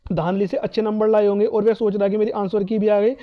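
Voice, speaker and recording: Man, emphatic informal explainer, rapid pace, flat intonation, self-asked questions, muffled lo-fi recording. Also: sits high. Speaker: exam cutoff advice presenter. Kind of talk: informal explainer addressed to exam candidates.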